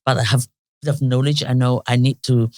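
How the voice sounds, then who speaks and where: A woman's voice: a bass voice, level tone, a little fast, dark tone, no room sound, studio quality. A middle-aged woman from China with a Chinese accent, telling her story in a casual interview with many restarts and fillers. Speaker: interview guest.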